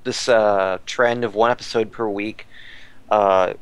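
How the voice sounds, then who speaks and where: A man's voice: fairly low pitch, fairly even intonation, takes it slowly, smooth. A man talking casually as a podcast commentator about fan news, rambling and repeating phrases as he searches for words.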